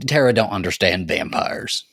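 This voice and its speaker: A man's voice: deep voice, animated, normal speed, gravelly, fairly bright tone, slightly rough, no echo, studio quality. A man co-hosting a podcast in casual comedic banter, sassy and sweary, exaggerating when he quotes. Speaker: podcast co-host.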